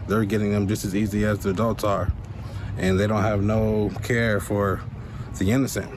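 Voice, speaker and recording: Low-voiced American man, noisy street interview. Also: level tone, normal speed. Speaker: local resident interviewed for news. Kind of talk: casual eyewitness account.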